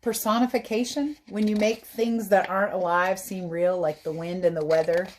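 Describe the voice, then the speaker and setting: A woman reading a story aloud as a teacher, pausing to explain things simply to young listeners. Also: medium pitch, fairly even intonation, darkish tone, a little hiss or hum. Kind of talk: read-aloud storytelling with explanatory asides for children.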